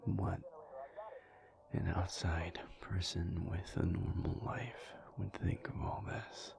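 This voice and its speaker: A man playing a thoughtful, weary alpha werewolf in an intimate audio roleplay, speaking softly and breathily in a halting, confiding way. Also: little pitch movement, full character voice. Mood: pensive, weary. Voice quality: breathy, half-whispered, low and gravelly. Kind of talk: intimate audio roleplay, soft-spoken ASMR monologue.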